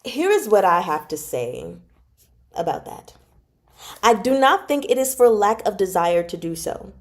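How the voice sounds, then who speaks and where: A woman with a mid-range voice hosts a casual chat, retelling fiction to her viewers. She is animated and slangy, with a giggly streak, and slips into acting out the reactions of characters. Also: mid-range voice, measured pace. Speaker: book club host and storyteller.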